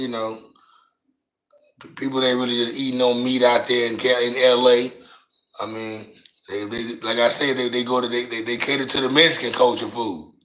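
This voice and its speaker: A middle-aged man with a raspy voice and a Louisiana accent, chatting on his livestream in slangy, rambling talk and often tripping over his words. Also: mid-range voice, a bit bright, roomy sound, low-quality recording. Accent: Louisiana Southern US. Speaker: livestream host. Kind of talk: casual livestream chat.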